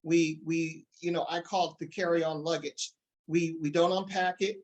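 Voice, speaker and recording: A man's voice: fairly high pitch, fairly even intonation, moderate pace, darkish tone, muffled recording. Man hosting a talk show with showman flair.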